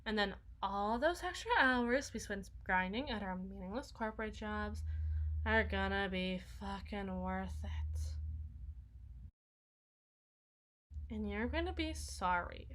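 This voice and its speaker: A woman's voice: medium pitch, a bit bright, lightly acted, low-quality recording. A woman playing a sulky, sarcastic young vampire shut-in in an ASMR roleplay, whining and mumbling through a one-sided conversation. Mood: sulky boasting. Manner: whiny, sarcastic, halting, dropping into mumbles and sighs. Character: sulky, sarcastic young female vampire, reclusive lazy gamer.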